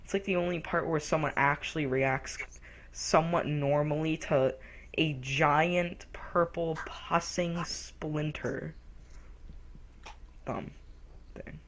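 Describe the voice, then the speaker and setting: Young man, slow rambling talk, loud acted-out quotes, faint noise behind. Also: a little high, fairly even intonation, low-quality recording. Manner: rambling, slow, acts out cartoon lines loudly. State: recently sick. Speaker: co-host reviewer. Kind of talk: casual review chat.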